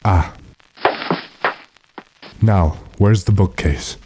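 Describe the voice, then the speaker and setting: Man playing a deep, dark-voiced commanding gentleman. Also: drawn-out words, a little hiss or hum. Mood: resolute. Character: weary, decisive gentleman master lost in a storm. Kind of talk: old-time radio drama acting.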